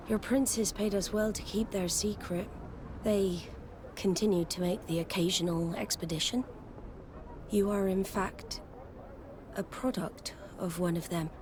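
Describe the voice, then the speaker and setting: A stern seafaring admiral in a game, in a lowish female voice. Her delivery is grave, formal and deliberate, with loud ambience behind her. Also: background noise. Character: commanding female sea admiral, solemn and stern. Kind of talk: dramatic game dialogue.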